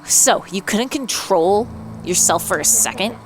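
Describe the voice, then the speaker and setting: A woman's voice: medium pitch, animated, unhurried, close to an everyday voice, low-quality recording. Woman doing a bitter, frightened mutant boy, sarcastic. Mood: bitter, accusing. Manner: accusing, sarcastic.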